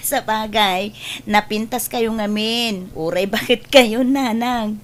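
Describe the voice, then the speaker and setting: A woman playing a sharp-tongued, teasing woman in an Ilocano family comedy, scolding with drawn-out exclamations. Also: fairly high pitch, fairly even intonation. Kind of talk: comedy drama acting.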